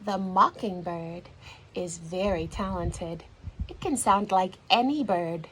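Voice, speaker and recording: A woman reading a children's storybook aloud like a teacher, in an expressive, deliberate storytelling manner. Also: on the low side, a little hiss or hum, rough recording.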